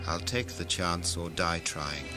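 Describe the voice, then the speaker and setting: An actor playing a ruthless, ambitious corporate director in a science-fiction audio drama, commanding and grandiose. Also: male voice, low-pitched, measured pace. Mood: grandiose, driven. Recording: music behind the voice.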